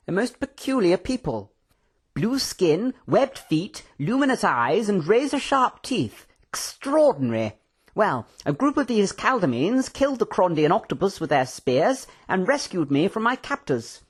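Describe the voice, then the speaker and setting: An excitable storybook professor, pitched high and leaping about. He is pompous and effusive, full of genteel, old-fashioned exclamations.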